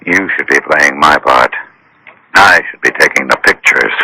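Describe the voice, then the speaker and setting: Gravelly deep-voiced grumbling newsman. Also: normal speed, sharp tone, a little hiss or hum, full character voice, poor audio. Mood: grudging. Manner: wry, complaining, casual. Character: grumbling newspaper photographer playing reluctant decoy. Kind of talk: radio drama acting.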